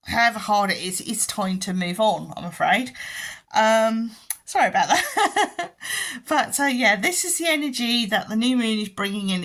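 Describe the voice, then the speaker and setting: A woman giving a pick-a-card tarot reading in a gentle, halting way, full of ums and restarts. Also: medium pitch. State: croaky voice and yawning. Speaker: tarot reader.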